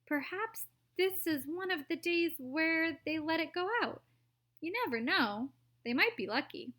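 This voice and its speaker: A woman's voice: very high voice, lively intonation, a little slow, pushed and bright, voice pushed up, heavily acted. A woman voicing a breezy, unconcerned eccentric man in a children's story, airy and soothing. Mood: nonchalant.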